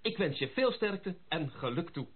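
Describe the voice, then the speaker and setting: A tinny, band-limited old radio recording carries a worldly gentleman confidant. His voice is fairly high and husky. He speaks with wry, gossipy caution in formal, old-fashioned phrasing. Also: sing-song, moderate pace. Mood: amused, cordial. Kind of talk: Dutch radio play acting.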